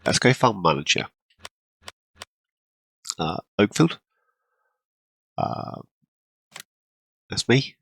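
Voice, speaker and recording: A man hosting a gaming channel, congested from a cold and clearing his throat often, rambling casually through his gameplay. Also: sits low, a bit bright, no room sound. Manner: rambling, self-deprecating, frequent throat clearing.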